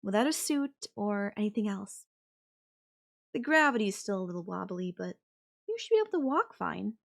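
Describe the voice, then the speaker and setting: A woman voicing a whimsical, flippant goddess in an ASMR roleplay, musing airily with long dramatic pauses. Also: mid-range voice, big pitch swings, creaky. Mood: playful, friendly. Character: whimsical, airy, flippant yandere goddess watching Earth die. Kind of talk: ASMR audio roleplay acting.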